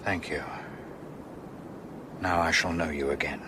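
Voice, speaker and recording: An actor playing a courteous, uneasy older English solicitor in a radio drama, polite, formal and measured. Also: male voice, rumbling low, steady tone, background noise. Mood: politely insistent. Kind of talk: radio drama acting with brooding narration.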